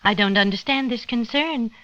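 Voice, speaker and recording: A woman's voice: on the high side, normal speed, a bit bright, natural voice. An actress playing the indignant wife of a long-missing man in an old radio drama. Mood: indignant, weary. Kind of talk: 1940s-50s radio drama acting. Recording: muffled vintage radio recording.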